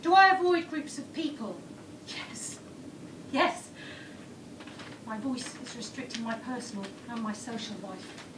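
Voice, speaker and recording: A woman narrates her story in the present tense for a theatre audience, her voice high but often sinking to a hush. She is heard from well back in a reverberant room with noise in the background. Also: animated, a little slow, long pauses, distant mic. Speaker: performer. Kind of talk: first-person theatrical storytelling. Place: theatre stage.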